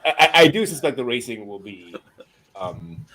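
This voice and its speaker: A man's voice: medium pitch, sing-song, normal speed, fairly bright tone, poor audio. A man hosting a casual anime news show, chatting and joking with a wry, amused delivery.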